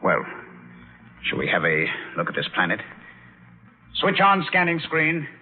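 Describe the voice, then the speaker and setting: An actor playing an earnest, commanding spaceship captain and narrator in a 1950s radio drama, giving crisp orders and solemn narration. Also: male voice, medium pitch, wildly swooping pitch, measured pace. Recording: vintage radio recording, narrow band, loud music.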